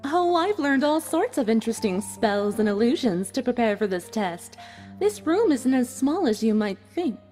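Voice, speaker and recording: A woman playing a cold, smug unicorn villain who runs deadly tests, speaking in a measured, condescending, theatrical way in a dramatised fan fiction. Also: fairly high pitch, big pitch swings, a little mellow. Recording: music under the voice.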